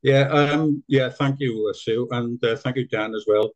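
A man's voice: medium pitch, fairly even intonation, a little slow, dull audio. A man with a Welsh accent giving a presentation on a video call, hesitant with many fillers and restarts. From South Wales. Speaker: seal conservation volunteer presenter. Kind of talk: online meeting presentation.

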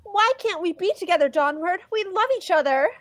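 A woman's voice: very high-pitched, a little fast. A woman voicing a haughty, lovestruck young female cartoon duck in a theatrical, emphatic way. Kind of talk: comic dub voice acting.

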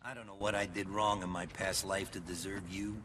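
An actor playing a cynical, mocking corrupt police detective in a video game cutscene, with a sarcastic, condescending, wisecracking way of talking. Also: male voice, low voice, flat delivery, brisk, crowd noise, close to an everyday voice. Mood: scornful mockery.

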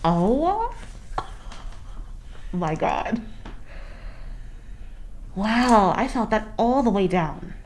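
A woman's voice: medium pitch, sing-song, very slow, pauses often, a little mellow, reverberant. A young adult woman as a patient, responding casually in short, amazed exclamations during treatment. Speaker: chiropractic patient. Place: small indoor treatment room. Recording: room recording with noise and clicks.

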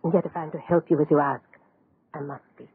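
An actress playing a solemn, anguished woman scientist in halting, dramatic 1930s radio horror style. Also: sits low, fairly even intonation, natural voice. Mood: solemn, confiding. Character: anguished woman doctor, a scientist afraid of her own experiment. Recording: scratchy, phone-like 1930s radio recording.

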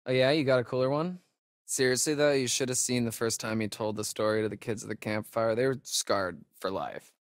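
An actor playing a wisecracking, jittery young American camp counselor in a horror video game, with slangy, hesitant, sarcastic delivery. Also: male voice, medium pitch, steady tone, brisk, no echo, clean studio sound, close to an everyday voice. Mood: teasing. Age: young adult. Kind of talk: naturalistic horror game acting.

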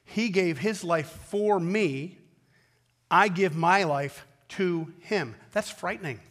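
An American man preaches from a church stage in a somewhat high-pitched voice. He is a pastor who speaks earnestly and with emphasis, repeating phrases and pausing deliberately between them. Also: a bit bright, big-room sound. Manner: earnest, emphatic delivery with deliberate pauses and repetition. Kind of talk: sermon. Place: large indoor church auditorium stage at a wooden pulpit.

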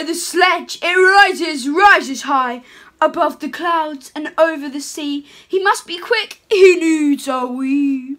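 A young woman playing a jolly, chatty Father Christmas reading a storybook aloud at home, her voice pushed lower in a playful put-on. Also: a bit bright. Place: home room with curtains.

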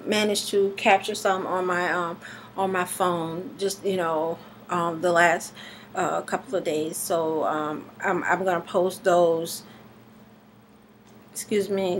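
A stuffy, slightly hoarse woman in her thirties rambles to her webcam from the living room, stopping often to restart her sentences. Her pitch sits mid-range and a faint hum of room noise runs behind her. It feels like an unpolished home video diary. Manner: halting, many fillers and restarts. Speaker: vlogger. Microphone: webcam at arm's length.

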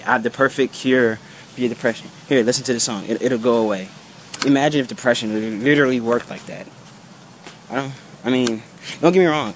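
A man's voice: mid-range voice, slight background noise. A young man in his twenties with a sick, slightly off voice, ranting casually to his vlog camera with lots of "you know" and "bro" and free swearing, filmed outdoors with a handheld camera. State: sick, voice a bit off. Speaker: vlogger. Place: outdoors under trees by a brick building. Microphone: handheld camera held low at arm's length.

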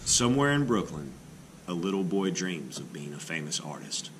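A man, an art teacher, reading a children's biography book aloud in measured, expressive phrases with deliberate pauses. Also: sits low, noisy background.

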